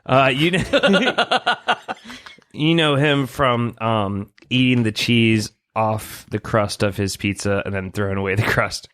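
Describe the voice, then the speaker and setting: A woman with a lively, swooping voice, recorded dry and close. She chats and jokes casually as a comedy podcast host, giggly and self-deprecating. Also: rumbling low, takes it slowly, dry recording. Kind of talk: casual comedic storytelling and banter.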